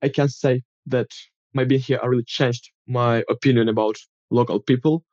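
A young man from Ukraine with a Ukrainian accent, answering interview questions in careful, slightly halting English. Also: mid-range voice, steady tone, darkish tone, dry recording, lo-fi sound.

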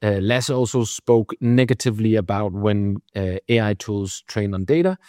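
A man's voice: lowish voice, moderate pace, darkish tone, dry recording, clean studio sound. A man with a Danish accent giving explanatory interview answers about his company, thinking aloud as he goes. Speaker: company representative.

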